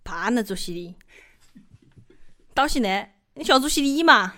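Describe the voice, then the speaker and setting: A woman's voice: on the high side, animated, a little slow, bright, forceful tone. A woman acting a guarded, naive young female student in a Chinese audio drama, speaking with a regional dialect accent. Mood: hesitant. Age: young adult.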